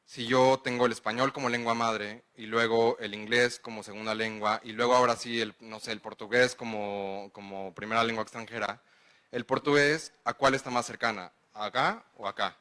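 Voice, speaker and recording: Man, Mexican accent, lecturer, explanatory, checks in with listeners, bright tone. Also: medium pitch, steady tone, moderate pace, clean tone. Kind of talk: conference lecture answering questions.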